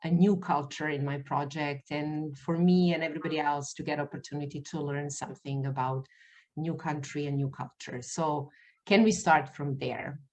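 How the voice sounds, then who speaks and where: A woman hosting an interview podcast over a video call, talking haltingly with many fillers and restarts, English not her first language. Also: low-pitched, steady tone, a little mellow. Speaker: podcast host.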